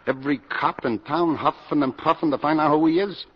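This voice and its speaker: An actor playing a suspicious, controlling gang boss with tough, slangy talk in 1950s radio drama style. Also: male voice, on the high side, fairly quick, husky. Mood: scheming, menacing. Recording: noisy, muffled old radio broadcast.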